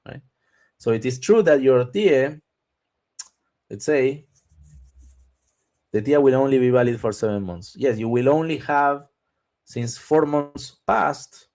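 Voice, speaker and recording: A man's voice: medium pitch, a little mellow. A man hosts a live webinar, speaking in a mid-range voice. He reads each viewer question aloud, then explains the answer in a loose, conversational way. He keeps checking in with his audience as he goes. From Argentina. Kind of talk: live webinar Q&A on visas and taxes.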